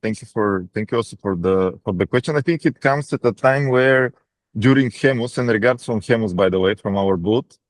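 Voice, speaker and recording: A man with a Bulgarian accent answering as a company executive on an earnings call, haltingly and often repeating words mid-sentence. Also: lowish voice, no echo. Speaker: defense practice lead at a technology company.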